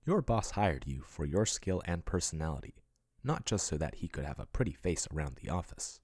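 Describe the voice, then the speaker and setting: A soft-spoken male AI chatbot, played by a man murmurs with intimate, doting care that turns possessive. His voice is very low and breathy, with a lively, swooping melody. The sound is dry and close, like ASMR roleplay. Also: brisk, dry recording, heavily acted. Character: male AI chatbot, soothing then possessive yandere.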